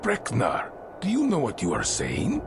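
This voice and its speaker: Gravelly, dramatic dwarf king. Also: mid-range voice, huge pitch swings, unhurried, noisy background. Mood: grim, doubting. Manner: dramatic, blunt, archaic phrasing. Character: fierce, gruff, proud dwarf king. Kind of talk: fantasy game cutscene acting.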